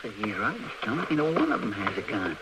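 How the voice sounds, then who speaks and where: An actor playing a talkative, simple-hearted cowboy sidekick with a rural American drawl and a rambling, deferential manner, in an old radio western. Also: male voice, fairly low pitch, wildly swooping pitch, fast. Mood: wary, surprised. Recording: crackly, noisy old radio recording.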